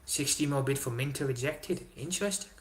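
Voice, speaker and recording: A young man hosting a casual live stream, reading chat aloud and answering in an excitable way, swearing and dropping slang. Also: medium pitch, a little fast. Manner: excitable, swears, London slang like innit and big up. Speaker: livestream host reading chat.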